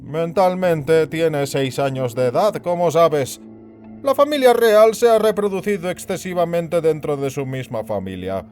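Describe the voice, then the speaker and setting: Male narrator as burly disapproving director, high-pitched, very animated delivery, condescending, emphatic, audio story. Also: a little slow, faint music behind. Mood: scornful, explaining. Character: overbearing big burly male institute director, perpetually disapproving.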